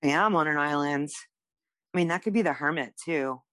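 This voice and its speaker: A woman's voice: deep voice, fairly quick, fairly bright tone, no echo, clean studio sound. A woman chatting casually as a podcast co-host, playful, irreverent and self-deprecating. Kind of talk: casual banter on a tarot quiz podcast.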